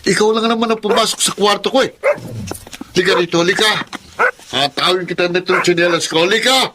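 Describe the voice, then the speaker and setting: A man's voice: high-pitched, a little fast, background noise, lightly acted. A man playing an irritated, bossy older brother in a Filipino radio drama, accusing and indignant, with a Filipino accent. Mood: angry, accusing.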